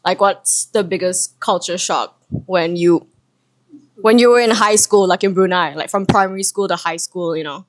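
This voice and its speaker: A woman's voice: lowish voice, normal speed. A young woman co-hosting a casual podcast in a living room, chatty and full of filler words. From Malaysia. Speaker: podcast co-host. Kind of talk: casual chat.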